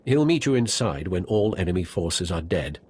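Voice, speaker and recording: A man's voice: low-pitched, big pitch swings, normal speed, darkish tone, husky, exaggerated voice. A man playing a dry-witted military officer in a video game, giving a formal, understated briefing. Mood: businesslike. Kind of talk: scripted video game dialogue.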